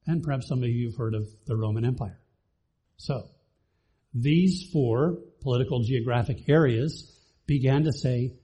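A man from the Bahamas teaches a congregation in an easy, conversational way. His voice is very dark and mellow with a husky edge, and he often turns to ask the room questions. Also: medium pitch. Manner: conversational, asks the audience questions. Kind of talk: church teaching talk to a live congregation.

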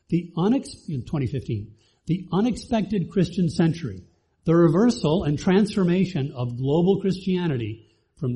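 A man from the Bahamas teaches a congregation in an easy, conversational way. His voice is very dark and mellow with a husky edge, and he often turns to ask the room questions. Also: mid-range voice, rough recording. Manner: conversational, asks the audience questions. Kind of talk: church teaching talk to a live congregation.

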